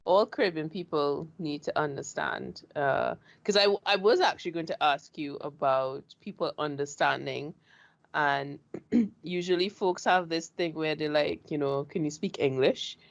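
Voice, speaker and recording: A woman from Trinidad with a Trinidadian accent hosting an interview, chatty and animated as she tells her own stories. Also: deep voice, measured pace, vocal fry, muffled recording. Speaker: show host. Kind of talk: casual interview chat.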